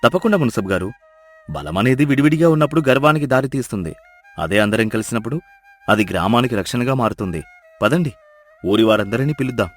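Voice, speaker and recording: A man voicing a clever, humble donkey herder who speaks softly and persuasively in a Telugu moral story. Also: medium pitch, lively intonation, faint music behind, voice pushed down, close to an everyday voice. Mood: earnest. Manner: soft, measured, gently persuasive.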